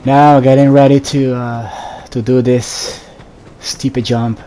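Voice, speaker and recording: A man talks through a video game in a casual, instructive way, speaking straight to the viewer. His voice is mellow and dark, with very little rise and fall. Faint game ambience and background noise sit behind him. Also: medium pitch, little pitch movement, slow. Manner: instructive, addresses viewers directly. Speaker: gaming video host. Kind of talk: casual solo gameplay commentary.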